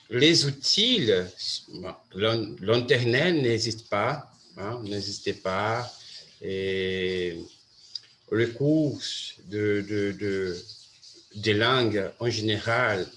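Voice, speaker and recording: A Brazilian man whose first language is Portuguese, hosting a casual chat in French and pausing often to find his words. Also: on the low side, fairly bright tone, a little hiss or hum. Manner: halting, many restarts and fillers. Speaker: host.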